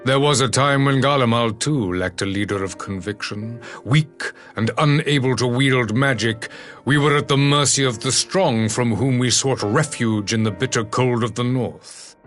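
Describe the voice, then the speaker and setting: An actor playing a proud, uncompromising emperor in a fantasy video game, with grave, oratorical and archaic formal speech. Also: male voice, mid-range voice, moderate pace, fairly bright tone, faint music behind, close to an everyday voice. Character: proud, uncompromising middle-aged male emperor, stern and disdainful.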